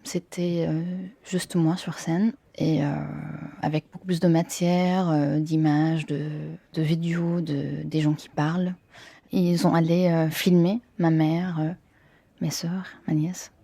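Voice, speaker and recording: Mellow young Syrian woman, low-pitched. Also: flat delivery, takes it slowly, no room sound. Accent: Syrian Arabic. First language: Arabic. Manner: hesitant, searching for words. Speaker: interviewee, theatre performer and film worker. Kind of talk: casual interview answers.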